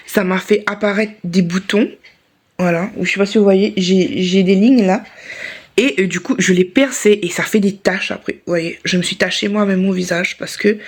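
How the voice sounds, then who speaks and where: Woman vlogging with a sore throat. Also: on the low side. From Réunion. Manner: rambling, many restarts and fillers. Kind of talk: casual vlog chat to camera.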